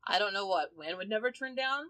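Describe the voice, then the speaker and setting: A woman's voice: mid-range voice, animated, a little fast, bright, forceful tone. A woman chatting casually as a player on a tabletop podcast, talking emphatically and swearing freely. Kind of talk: casual chat.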